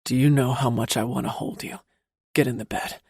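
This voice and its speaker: A woman narrator voicing a confident, playful adult man, the husband, in a low teasing drawl for an audiobook. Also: fairly even intonation, brisk, gravelly, a little mellow, husky, no echo, heavily acted. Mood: teasing, commanding. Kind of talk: audiobook character voice.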